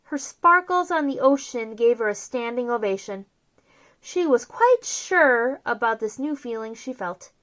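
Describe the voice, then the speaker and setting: Very high-pitched, animated woman storyteller. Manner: theatrical, drawn-out emphasis on key words. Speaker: children's storyteller, host. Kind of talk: expressive picture-book read-aloud.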